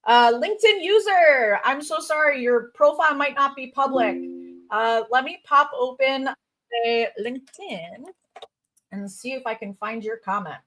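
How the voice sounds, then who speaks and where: A woman's voice: sits high, a bit bright, low-quality recording. A woman in her thirties with a Chicago accent, a crypto recruiter chatting casually on a livestream and reading viewer comments. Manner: bubbly, chatty, quick asides and fillers.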